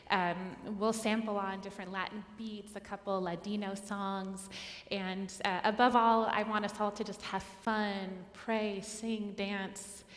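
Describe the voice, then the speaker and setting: A woman's voice: mid-range voice, fairly bright tone. A woman cantor whose first language is Spanish, leading a Friday evening service in a friendly, informal way with a few fillers. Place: large synagogue sanctuary. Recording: reverberant sanctuary with live band. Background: live band music.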